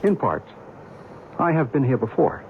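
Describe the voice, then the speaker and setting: An actor playing a knowing, enigmatic American narrator and believer in an old-time radio drama, measured and assured. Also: male voice, mid-range voice, big pitch swings, a little mellow. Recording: tinny, noisy old radio recording.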